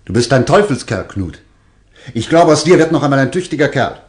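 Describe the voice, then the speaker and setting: An actor in a German radio drama playing a brisk, excitable detective with a terse, insistent way of questioning. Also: male voice, mid-range voice, lightly acted. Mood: pleased, encouraging. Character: probing adult male detective, brisk, encouraging, excitable.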